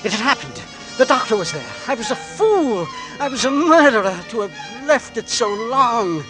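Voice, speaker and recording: Rozedrganego, melodramatycznego męża ze starego słuchowiska. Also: male voice, very high-pitched, very animated, normal speed. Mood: frantic dread. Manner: melodramatic, fraught, swinging from hushed to frantic. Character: anxious suspicious husband, an Englishman, secretly dreading his poisoner wife. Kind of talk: 1940s radio drama acting, with first-person narration. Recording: vintage 1940s radio recording with music.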